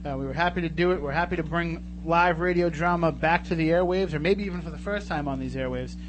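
A man's voice: fairly high pitch, quick pace. An American man hosting a live talk radio show in a casual, chatty, informal way. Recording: noisy radio show audio with hum.

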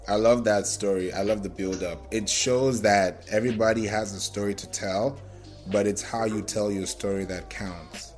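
A man with a lowish voice hosts a casual interview. He readily agrees with his guest and often affirms what he says before asking his next question. Faint music plays underneath. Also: steady tone, normal speed, a little mellow. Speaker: interview host.

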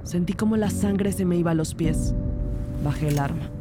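A woman's voice: fairly low pitch, moderate pace, natural voice. A woman playing a composed police detective who narrates her investigation in a scripted crime audio drama. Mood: tense, hushed suspense. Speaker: narrator and lead character. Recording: loud music behind the voice. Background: music.

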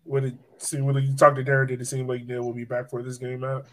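A man's voice: mid-range voice, completely flat delivery, fast, poor audio. A man hosting a sports podcast, chatting casually in a joking, playful way. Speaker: podcast host.

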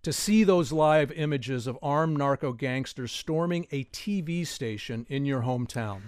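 A man hosting a public radio talk show from a studio, speaking in a measured, clearly enunciated way. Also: mid-range voice, darkish tone, no echo. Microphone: studio microphone.

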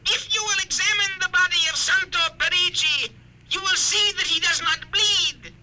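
A tiny alien warlord in doll form, speaking in an extremely high, squeaky voice with cold, precise triumph. The sound comes from a heavily hissing old radio recording. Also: male voice, moderate pace. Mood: cold triumphant. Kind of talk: old-time radio drama acting.

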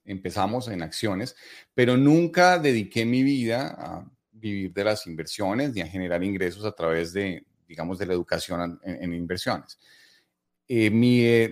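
Interview guest: middle-aged Colombian man, Bogotá accent, lower register, measured and explanatory. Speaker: interview guest, investing educator. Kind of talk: conversational interview answers.

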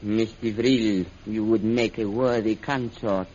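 A man's voice: fairly low pitch, steady tone, takes it slowly, darkish tone. On a dull, slightly noisy vintage radio recording, a suave and menacing prince. He speaks formally and coolly, an imperious villain weighing every phrase in 1930s serial style. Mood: intrigued, imperious.

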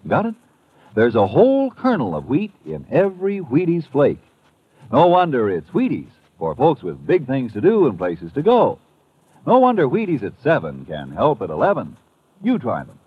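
A man's voice: medium pitch, husky. An American man delivering a folksy, emphatic hard-sell commercial in 1950s radio style. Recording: very muffled old radio recording.

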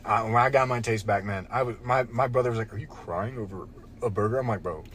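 A man's voice: on the low side, fast, fairly bright tone. Rambling young man telling a story. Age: young adult. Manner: rambling storyteller, many restarts and "bro". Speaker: podcast co-host. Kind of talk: casual chat.

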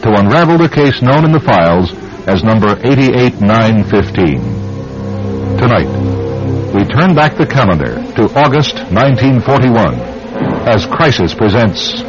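A man's voice: medium pitch, sing-song, measured pace. A man narrating a radio drama as its announcer, in a dramatic scripted style. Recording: dull radio recording over music. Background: music.

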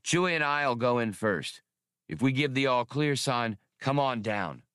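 A man voicing a brash, decisive smuggler and space pilot in an audiobook, with a brisk, commanding, wisecracking manner. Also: medium pitch, expressive, fairly bright tone, smooth, dry recording, studio quality, pitched above the performer's own voice. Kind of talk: audiobook character dialogue.

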